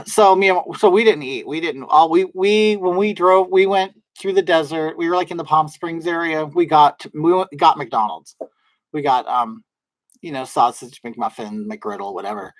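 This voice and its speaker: A man with a soft, feminine-sounding voice, rambling casually to his livestream viewers with lots of fillers and restarts. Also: a little high, moderate pace, no echo, poor audio. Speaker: livestream host. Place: at home in drag, wig on.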